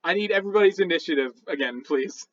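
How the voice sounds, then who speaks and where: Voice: mid-range voice, animated, quick pace, no echo, muffled recording. A game master chatting casually and teasing the players in a playful, crude way while running a tabletop game.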